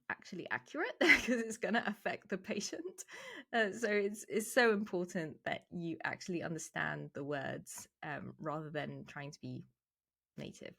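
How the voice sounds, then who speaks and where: Chatty woman, lower voice. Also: fairly bright tone. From England. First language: Arabic. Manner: chatty, laughs often, frequent "so" and "like". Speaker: medical writer. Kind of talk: casual talk to camera.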